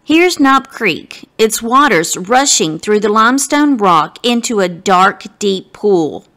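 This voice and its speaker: A woman narrating a children's storybook expressively, with deliberate phrasing that addresses young listeners directly. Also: mid-range voice, expressive, a bit bright. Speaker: guest story reader.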